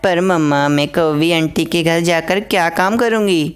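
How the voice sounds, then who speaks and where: A woman's voice: on the low side, normal speed, clean tone, natural voice. Earnest little girl sparrow, voiced by a woman. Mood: curious, concerned. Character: dutiful, curious young girl sparrow.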